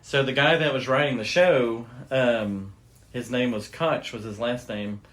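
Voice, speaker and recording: Man, podcast host, average pitch, casual storytelling, informal, loosely phrased. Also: fairly even intonation, a little slow, fairly bright tone.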